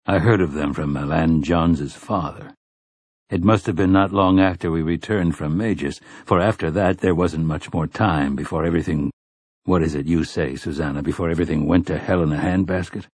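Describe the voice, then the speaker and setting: A man voicing a grim, weathered male gunslinger who speaks slowly and gravely in an audiobook. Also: a little mellow, dry recording, clean studio sound. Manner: slow, low and grave.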